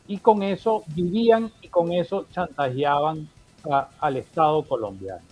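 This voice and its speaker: A man who grew up in Portugal, a political consultant giving measured, explanatory answers in an interview. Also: fairly high pitch, darkish tone. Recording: muffled remote line with faint music.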